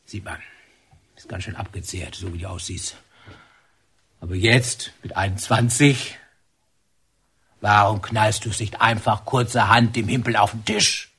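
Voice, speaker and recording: A man's voice: on the low side, steady tone, unhurried, long pauses, fairly bright tone, slightly rough, heavily acted. An actor playing an avuncular, well-meaning male warder, chatty and musing, in a naturalistic radio drama. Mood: probing, coaxing. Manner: hesitant, musing, conversational.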